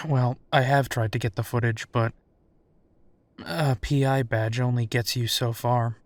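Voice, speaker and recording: A man's voice: medium pitch, fairly even intonation, unhurried, no echo, close to an everyday voice. A man playing a wry, foul-mouthed werewolf private investigator in a roleplay audio drama, talking casually. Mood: weary, frustrated.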